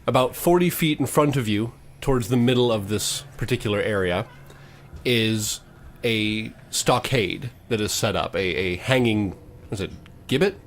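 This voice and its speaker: A man hosting as game master, narrating a tabletop fantasy game in a halting, descriptive way. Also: fairly low pitch, slight background noise. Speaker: game master, podcast host.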